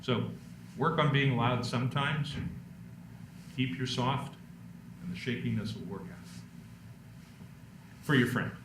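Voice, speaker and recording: Deep-voiced man presenting in an echoey room. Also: slow pace, pauses often. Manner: relaxed storytelling with self-deprecating jokes. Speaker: workshop presenter. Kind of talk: public speaking workshop Q&A. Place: lecture room. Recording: echoey room, distant mic, background noise.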